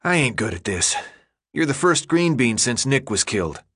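Man acting gruff, impatient teenage boy leader. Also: medium pitch, very animated, normal speed, fairly bright tone. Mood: gruff, exasperated. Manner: blunt, slangy, clipped commands. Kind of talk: audiobook character dialogue.

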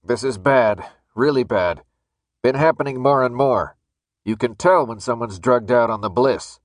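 A man's voice: mid-range voice, measured pace, no echo, lightly acted. A man voicing a stern, angry armed guard in an audiobook, barking curt, menacing orders. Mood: grim. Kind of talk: audiobook character dialogue.

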